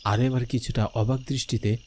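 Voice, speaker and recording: A man's voice: mid-range voice, little pitch movement, moderate pace, darkish tone. Male horror storyteller over night crickets. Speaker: narrator. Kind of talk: first-person scripted horror story narration. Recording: background noise with night crickets.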